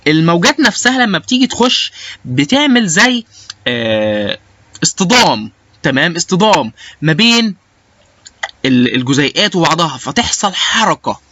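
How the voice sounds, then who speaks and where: A man's voice: a little high, sing-song, normal speed, sharp tone, dry recording, lo-fi sound. A man with an Egyptian Arabic accent giving an informal, explanatory lecture, dropping English technical terms into his speech. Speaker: lecturer, biomedical engineering instructor.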